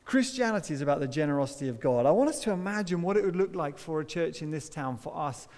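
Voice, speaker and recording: A middle-aged pastor preaches in a relaxed, conversational way, mixing personal stories with occasional laughs at himself. His voice sits a bit higher than most men's, and a long hall reverb trails behind it, captured by a far camera mic. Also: fast talker. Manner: conversational storytelling with self-deprecating laughs. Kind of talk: sermon. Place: church hall stage, indoors.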